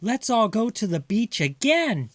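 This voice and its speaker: A man voicing a sensible, caring mother mouse from a storybook, gently firm. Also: high-pitched, sing-song, measured pace, no echo, studio quality, voice pushed up. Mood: cheerful.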